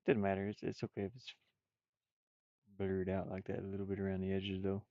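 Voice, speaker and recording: A man's voice: low-pitched, monotone, a little fast, dark tone, no echo, lo-fi sound. A man chatting casually on a livestream while he works, rambling and half muttering to himself. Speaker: streamer, game developer. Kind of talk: casual livestream chat while drawing game art.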